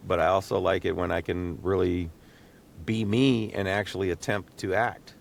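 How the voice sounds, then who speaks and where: Gravelly, low-voiced man chatting haltingly. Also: steady tone, a little hiss or hum. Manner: halting, rambling, thinking aloud with repeated words. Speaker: podcast host and voice actor. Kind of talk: casual podcast chat. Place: small home recording booth.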